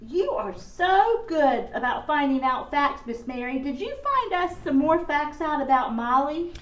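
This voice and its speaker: A woman voicing a curious, excitable puppet child in a children's puppet show, with an animated, wide-eyed manner. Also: high-pitched, unhurried. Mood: eager. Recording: echoey room, far from the mic.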